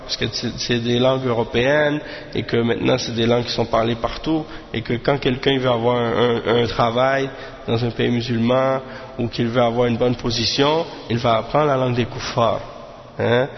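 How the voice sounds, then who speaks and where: A man's voice: mid-range voice, fairly even intonation, moderate pace, long reverb tail, dull audio. A man giving an informal religious lesson. He talks hesitantly, restarting his sentences and dropping in Arabic filler words. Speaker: religious teacher.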